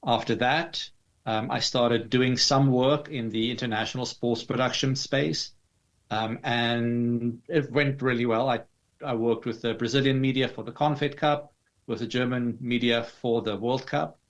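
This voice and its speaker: A man with a South African accent answering interview questions in a measured, explanatory way with formal wording. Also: mid-range voice, fairly even intonation, highs cut off. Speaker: interview guest, digital artist.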